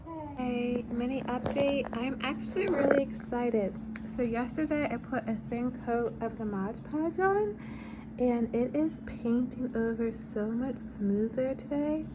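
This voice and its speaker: A woman's voice: on the high side, unhurried, background noise. An American woman chatting casually to camera as a craft vlogger, informal and thinking aloud as she goes.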